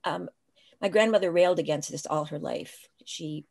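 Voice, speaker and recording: A woman's voice: sits low, normal speed, gravelly, darkish tone. An older woman and writing professor, talking reflectively in a casual video-call interview from a room at home, with frequent restarts.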